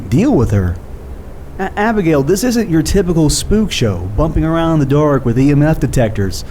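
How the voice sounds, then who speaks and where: A man acting in an audio drama as a guilt-ridden, defensive skeptic, with halting, hesitant delivery and dry sarcasm. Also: fairly high pitch, very animated, mellow, a little hiss or hum. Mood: agitated, defensive.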